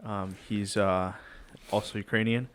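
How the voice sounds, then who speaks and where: A man co-hosting a podcast, asking questions in a halting way, often searching for words. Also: lowish voice, fairly even intonation, a bit bright. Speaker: co-host. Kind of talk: casual podcast interview.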